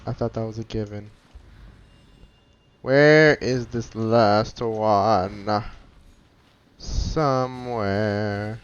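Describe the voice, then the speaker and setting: A man's voice: on the low side, extremely slow, pauses often, lo-fi sound, slight background noise. A man giving casual playthrough commentary on a video game in short, muttered asides.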